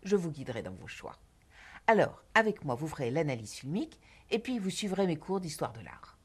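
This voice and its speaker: A lofty condescending film teacher, low husky voice that swings wide, film drama. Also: female voice, very animated, fairly quick, heavily acted. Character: haughty, self-important female film teacher. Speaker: private film and art teacher.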